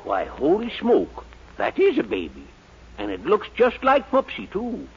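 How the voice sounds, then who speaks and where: A man's voice: high voice, huge pitch swings, unhurried, creaky, full character voice. An actor playing a folksy, gossipy small-town hotel man, exclaiming in 1940s radio comedy style. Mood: astonished, gossipy. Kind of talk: 1940s radio sitcom acting. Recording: muffled, noisy 1940s radio recording.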